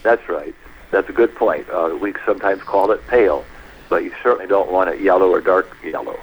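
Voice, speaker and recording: A man guest on a radio talk show, explaining health matters in a measured, anecdotal way, step by step. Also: deep voice, slightly rough, lo-fi sound.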